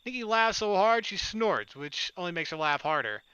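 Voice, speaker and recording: A man's voice: high-pitched, expressive, moderate pace, pushed and bright, smooth, no echo, muffled recording. A man playing a visual novel, reading its narration and dialogue aloud expressively with casual asides. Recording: game music behind the voice.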